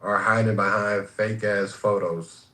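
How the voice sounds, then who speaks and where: A man in a heated group voice chat, talking in laid-back, drawn-out street slang. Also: fairly low pitch, fairly even intonation, pushed and bright, echoey room. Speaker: voice chat participant.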